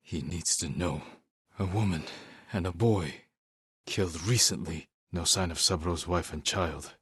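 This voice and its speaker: Honorable samurai, lowish husky voice, terse, commanding, weary, dry close game recording. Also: male voice, unhurried, fairly bright tone, no echo, full character voice. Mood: grave, subdued. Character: stern honorable adult male samurai warrior, dutiful and weary. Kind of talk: video game character voice lines.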